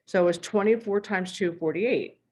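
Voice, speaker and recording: A woman teaching a math lesson while losing her voice, clearing her throat and walking through examples step by step. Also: medium pitch, moderate pace, darkish tone.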